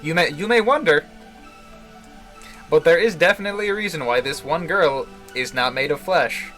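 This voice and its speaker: Higher-voiced man rambling on a game stream. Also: fairly bright tone. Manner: rambling, halting, chuckles to himself. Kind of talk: casual live-stream commentary on a visual novel. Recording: game music behind the voice. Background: video game music.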